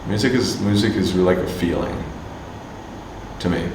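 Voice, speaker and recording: A man from Canada answering interview questions casually, halting with many fillers and restarts. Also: low-pitched. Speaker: musician and agency owner. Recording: distant mic, echoey room, faint hum.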